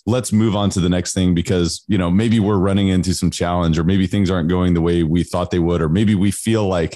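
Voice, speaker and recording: Very low-voiced man host, earnest and rambling, close dry mic. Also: fairly even intonation, a little fast, dark tone, no room sound. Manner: earnest, rambling with you-knows. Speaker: podcast host. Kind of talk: podcast interview.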